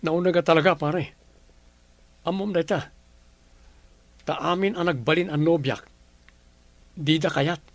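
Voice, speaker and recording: A man's voice: a little high, measured pace, pauses often, dry recording, natural voice. A man playing a young man who resents his family in a radio comedy drama. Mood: bitter.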